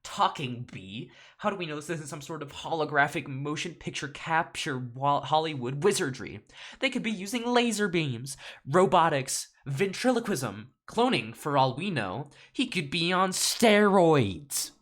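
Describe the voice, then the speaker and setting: A man's voice: mid-range voice, lively intonation, normal speed, a bit bright, voice pushed up, natural voice. Guy putting on a pompous folksy old-timey cartoon lawyer, theatrical and full of bluster. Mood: incredulous, blustering. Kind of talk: amateur solo script reading.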